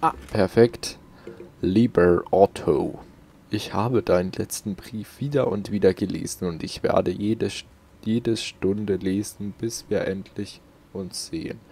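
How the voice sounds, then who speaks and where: A man's voice: on the low side, slow, dark tone, slightly rough. A man doing casual let's play commentary and reading in-game notes aloud, close to the microphone.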